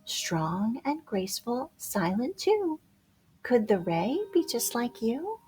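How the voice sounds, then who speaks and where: A woman reading a rhyming children's picture book aloud in a playful, expressive way, drawing out words for dramatic emphasis. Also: a little high, slow, slight background noise. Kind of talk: animated rhyming storybook read-aloud for young children. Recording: music behind the voice. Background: music.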